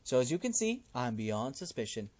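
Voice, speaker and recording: A man's voice: mid-range voice, big pitch swings, normal speed, darkish tone. A man voicing a fussy, genteel old cannibal cult leader in a game, with a polite, wordy, fluttery manner. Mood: proud.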